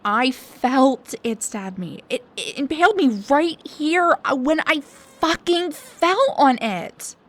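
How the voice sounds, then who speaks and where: A young woman playing a snarky, high-strung research assistant in an intimate audio roleplay, with a halting, stammering, dramatic delivery. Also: animated. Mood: alarmed, indignant. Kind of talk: intimate one-sided audio roleplay acting.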